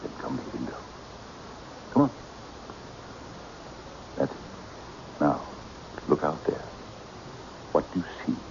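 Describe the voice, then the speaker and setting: Very deep, creaky sinister occult leader. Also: male voice, animated, slow pace, pauses often, exaggerated voice. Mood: hypnotic, coaxing. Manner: grandiose, condescending, hypnotic coaxing. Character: theatrical, sinister occult leader, imperious and coaxing. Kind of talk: old-time radio mystery acting. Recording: muffled, noisy old radio broadcast.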